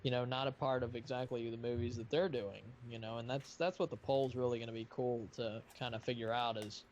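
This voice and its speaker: A man hosting a casual live stream chat, talking in a rambling, halting way. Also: mid-range voice, flat delivery, a little mellow. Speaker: live stream host of a fan dubbing project. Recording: muffled audio with light background noise.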